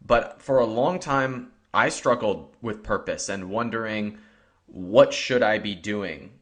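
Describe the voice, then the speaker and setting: A man's voice: on the low side, a bit bright. A man hosting a podcast, giving an upbeat motivational intro and then interviewing his guest. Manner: upbeat, motivational, laughs easily. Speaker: podcast host.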